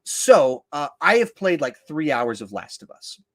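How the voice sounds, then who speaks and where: A man hosting a podcast in casual discussion, acting out his reactions in animated asides. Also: on the high side, measured pace. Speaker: podcast host.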